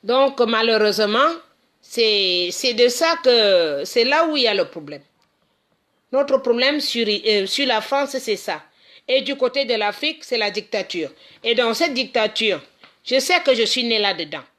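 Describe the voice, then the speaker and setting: A middle-aged woman from Cameroon delivers a passionate, unscripted monologue. She speaks emphatically, circling back and repeating phrases as she restarts her sentences. Her voice sits somewhat higher than most women's. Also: lively intonation, measured pace, fairly bright tone. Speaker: community association founder. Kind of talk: impassioned monologue.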